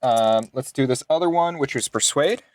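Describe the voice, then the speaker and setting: A man's voice: mid-range voice, normal speed, noisy background. A man chatting casually as a player in a tabletop role-playing game, reading out his results with some swearing. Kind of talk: casual chat while rolling dice.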